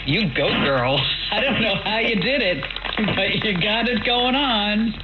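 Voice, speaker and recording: A man's voice: high-pitched, expressive, a little slow, over loud music. A man hosting a call-in advice show in a casual, informal, exclamatory way, often checking in with the listener. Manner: informal, exclamatory, peppered with "you know". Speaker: call-in tech advice host. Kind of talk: casual, chatty radio advice. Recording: noisy, dull shortwave radio.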